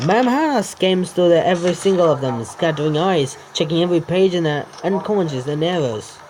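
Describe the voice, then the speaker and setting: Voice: medium pitch, expressive, a little fast, a little mellow, low-quality recording. A streamer narrates a visual novel in a let's play, with some noise behind the voice. The reading is casual and stumbles, with self-corrections, swearing and offhand remarks. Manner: stumbles over words, corrects misreadings, swears.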